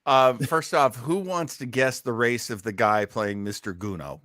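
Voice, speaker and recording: A man's voice: medium pitch, measured pace, a bit bright, dry recording, studio quality. A man hosting a casual live group commentary, jokey and self-deprecating. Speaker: host of a listening party.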